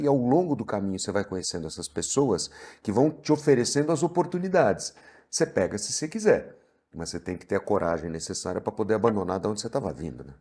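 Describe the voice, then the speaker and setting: A man with a husky voice, hosting a conversational interview. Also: fairly low pitch, a little mellow. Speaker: interview host.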